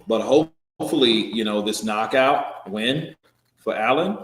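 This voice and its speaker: A man's voice: medium pitch, a little slow, background noise. A man hosting a live boxing stream, reading viewer comments and answering them in loose, joking talk that swears now and then and trails off into restarts. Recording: roomy echo.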